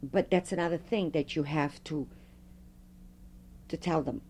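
A middle-aged woman, a Fado singer, telling stories with a strong, loud voice in an interview. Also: sits low, measured pace. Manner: animated storyteller, strong loud voice. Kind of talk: oral history interview.

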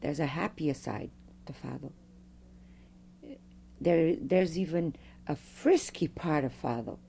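A middle-aged woman, a Fado singer, telling stories with a strong, loud voice in an interview. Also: low-pitched, slow, vocal fry, breathy edge. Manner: animated storyteller, strong loud voice. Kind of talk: oral history interview.

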